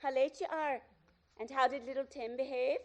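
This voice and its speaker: A woman's voice: high-pitched, steady tone, normal speed, darkish tone, lo-fi sound, close to an everyday voice. A woman playing an affectionate, fussing mother and wife in a radio play. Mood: fond fussing.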